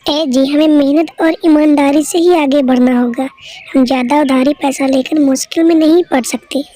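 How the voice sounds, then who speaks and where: A woman playing a devout, patient farmer's wife in a cartoon story, gently reassuring. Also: sits high, fairly even intonation, moderate pace, natural voice. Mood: advising.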